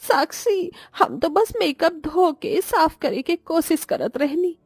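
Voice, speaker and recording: A woman voicing a high, meek village daughter-in-law with Bhojpuri accent. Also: animated, takes it slowly, dry recording, clean studio sound, soft background music, heavily acted. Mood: timid, pleading. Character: meek, naive young village daughter-in-law, earnest and hurt. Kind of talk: cartoon moral story voice acting.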